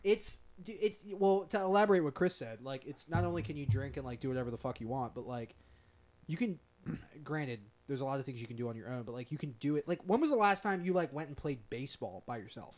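Excitable young guy, lively and quick. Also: medium pitch. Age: 20s. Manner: crude, swearing, excitable. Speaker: podcast co-host. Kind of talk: casual chat among friends.

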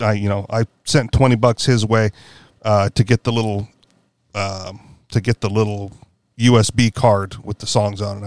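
A man's voice: fairly low pitch, no room sound. A man hosting a podcast in casual political chat, rambling and correcting himself with a wry tone. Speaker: podcast host.

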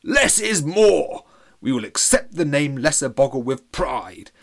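A man's voice: mid-range voice, lively intonation, moderate pace, natural voice. A man voicing a wise but grumpy village elder in a storybook, bellowing to rouse a crowd. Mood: rousing. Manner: bellowing, rousing.

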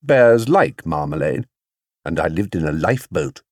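A man voicing a small, young male bear who speaks clearly, politely and with proud earnestness, as in a children's storybook. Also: low-pitched, big pitch swings, normal speed, darkish tone, dry recording, studio quality, voice pushed down. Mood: earnest, matter-of-fact.

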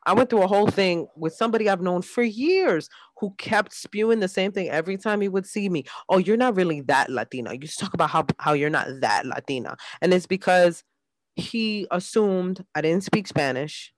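A woman's voice: on the low side, animated, normal speed, dry recording. A woman co-hosting a casual podcast chat, agreeable and sometimes searching for the right word. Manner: agreeable, searching for words as she talks. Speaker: podcast co-host.

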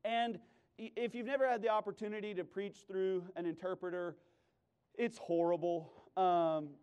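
Male preacher, high voice, emphatic delivery with sudden loud bursts and wry, joking storytelling. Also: fairly even intonation, normal speed, clean tone. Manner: playful, self-deprecating, sarcastic asides. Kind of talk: animated sermon with humorous storytelling.

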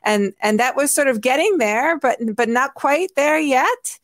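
A woman who grew up in Hungary, answering interview questions in a reflective way, often pausing with fillers and restarting her sentences. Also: sits high, expressive, no room sound, studio quality. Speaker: guest, anthropologist.

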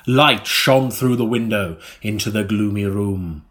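A man reading a mock-serious gothic story as an audiobook narrator, with a melodramatic, deliberate delivery. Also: on the low side, fairly bright tone. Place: recording studio.

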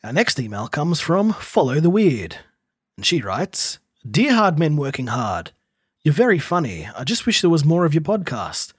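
An Australian man co-hosting a podcast, quick to laugh while chatting and reading out emails. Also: fairly high pitch, sing-song, husky, dry recording, studio quality. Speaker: podcast co-host.

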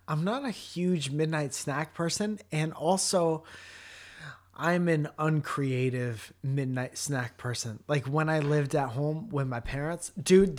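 A young man from Connecticut co-hosting a casual chat, joking, rambling and swearing. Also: on the high side. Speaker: podcast co-host.

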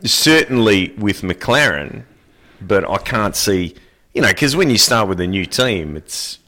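A man's voice: fairly low pitch, animated, unhurried, bright, forceful tone. A man co-hosting a sports podcast, blunt and laid-back with a teasing streak. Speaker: podcast co-host. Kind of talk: casual sports chat. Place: small podcast studio.

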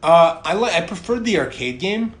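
A man's voice: sits high, sing-song, moderate pace. Man in his thirties over noisy ambience. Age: 30s. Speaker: podcast co-host. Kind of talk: casual chat and personal storytelling. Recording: clearly noisy background ambience.

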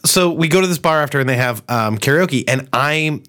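A young man telling profane, animated stories in a casual podcast chat. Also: mid-range voice, fairly quick. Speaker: podcast co-host.

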